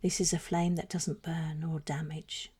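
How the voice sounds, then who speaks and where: A woman leading a guided meditation in a soft, breathy voice that often trails toward a whisper, phrasing slowly and soothingly with deliberate pauses. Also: low-pitched, little pitch movement.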